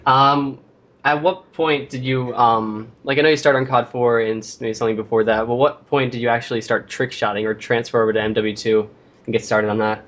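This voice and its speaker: A man's voice: medium pitch, fairly even intonation, fairly quick, a bit bright. A teenage boy hosting a casual interview, asking friendly questions with frequent "um"s.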